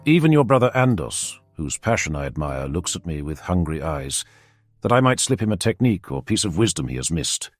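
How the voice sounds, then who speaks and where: A stern warrior-genius, as a male narrator plays him, deep-voiced, blunt and direct, rising with force when he argues. Faint music plays under this fantasy audiobook. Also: very animated, a little fast, no echo. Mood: measured.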